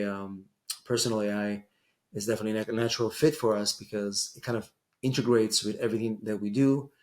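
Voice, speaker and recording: A man's voice: lowish voice, a little mellow. A middle-aged man with an Israeli accent, an immigration attorney answering interview questions with frequent ums and restarts. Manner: hesitant, many fillers and restarts. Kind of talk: casual interview conversation.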